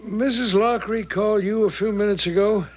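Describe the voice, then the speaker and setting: An American actor playing a plain-spoken small-town sheriff with a creaky voice, folksy and deliberate, in 1970s radio drama style. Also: male voice, sits high, steady tone, dull audio. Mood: matter-of-fact.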